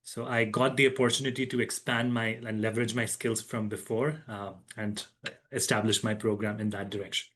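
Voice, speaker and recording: A man's voice: lowish voice, little pitch movement, a little fast, a bit bright. A man in his thirties telling how his research career took shape, as a guest in a podcast interview, reflective with restarts and fillers. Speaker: guest, agronomy professor.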